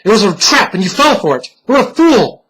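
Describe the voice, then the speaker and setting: Male reader as a wicked man hounded by guilt, husky and theatrical, fearful yet defiant, for gothic horror storytelling. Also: sits high, very animated, brisk, a bit bright, pitched above the performer's own voice, full character voice, poor audio. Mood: angry self-reproach. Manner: dramatic, tense muttering and outbursts. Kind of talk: dramatic storybook horror reading.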